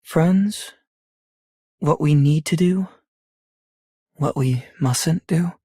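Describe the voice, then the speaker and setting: A slow, husky woman's voice for a grave video game operative. She speaks with solemn, probing weight, in a very dry recording. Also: low-pitched, dark tone, no echo, exaggerated voice. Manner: solemn, measured questioning. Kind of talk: scripted game character voice lines.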